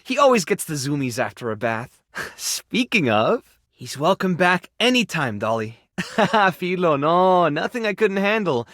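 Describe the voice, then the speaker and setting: Gentle animal rescuer, expressively swooping voice. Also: male voice, sits high, sing-song, a little slow, no echo, studio quality, exaggerated voice. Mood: amused, easygoing. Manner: hesitant, soft-hearted, coaxing. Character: earnest, caring male animal rescuer, gentle and easily flustered. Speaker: voice actor in a video game. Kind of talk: expressive dramatic game voice acting.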